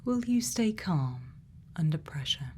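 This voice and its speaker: Noticeable ambient noise sits behind a woman's hushed ASMR voice. She slips between breathy whispers and a soft, lowish murmur, taking her time with long pauses. She plays a gentle scientist putting questions to someone. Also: sing-song. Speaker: ASMR performer.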